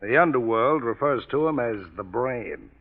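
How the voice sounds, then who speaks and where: An actor playing an earnest middle-aged reform politician in a 1930s radio drama, speaking in a measured way. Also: male voice, mid-range voice, animated, natural voice. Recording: dull old radio recording.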